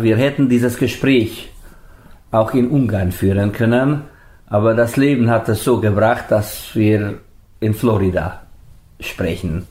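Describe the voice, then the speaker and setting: A man reporter with a dark, lower voice asks calm, hesitant questions. He is recorded in a living room with audible room echo. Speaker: radio reporter interviewer. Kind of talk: on-location interview. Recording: roomy living room sound.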